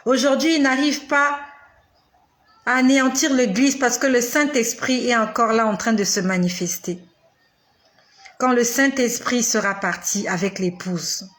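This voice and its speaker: A woman in her forties preaching a sermon straight to the listener, with slow, emphatic repetition and dramatic pauses. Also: a little high. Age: 40s.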